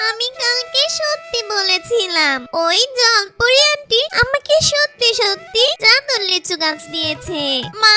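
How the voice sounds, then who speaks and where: An eager little cartoon girl, super high voice, pleading and bouncy, loud kiddie music behind. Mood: excited, pleading. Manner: childlike, pleading, excitable. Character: little girl Tuku, eager, innocent, lychee-loving daughter. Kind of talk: cartoon fairy-tale voice acting. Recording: children's background music throughout. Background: loud playful children's music.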